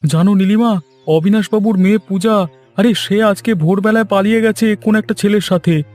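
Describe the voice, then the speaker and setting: An anxious village husband and gossip from a cartoon , put on by a man. His voice sits very high for a man and sounds excitable and pleading, over faint music. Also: fairly quick, lightly acted. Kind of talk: cartoon voice acting.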